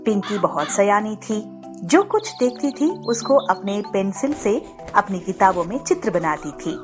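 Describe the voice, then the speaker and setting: A woman's voice: medium pitch, big pitch swings, measured pace, music in the background. A woman narrating a scripted story for children.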